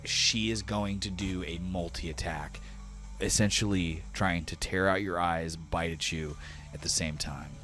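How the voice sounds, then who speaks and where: A man narrating a tabletop fantasy game as game master in casual, slangy, animated storytelling. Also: low voice, a little slow, music in the background.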